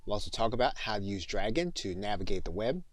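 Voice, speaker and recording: Male e-learning instructor, fairly low voice, orderly explanatory delivery of scripted tutorial, dry recording. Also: very animated, fast, no room sound. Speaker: online course instructor.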